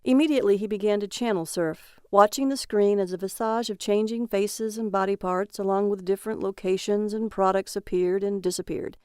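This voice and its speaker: A woman's voice: mid-range voice, steady tone, a little fast, hardly any pauses, dark tone, smooth, no room sound, clean studio sound. A woman storyteller reading scripted narration of a comic short story.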